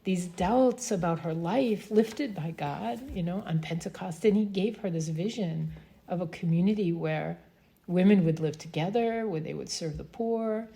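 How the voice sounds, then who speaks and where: A woman's voice: on the low side, moderate pace, creaky, dark tone. A middle-aged woman with an East Coast American accent, hosting a casual conversation and reflecting aloud with frequent "you know" and "right?". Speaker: host.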